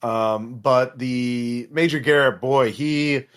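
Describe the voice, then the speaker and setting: A man with a mid-pitched voice narrates a tabletop game as its game master. He improvises as he goes, haltingly, searching for his words. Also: steady tone, clean tone.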